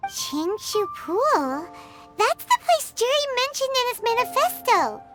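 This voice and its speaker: A woman voicing a tiny, eager, naive childlike companion in a video game, squeaky and exclamatory. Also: big pitch swings, measured pace, heavily acted. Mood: eager, alarmed. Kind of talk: cartoonish video game voice acting. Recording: loud playful music behind voice.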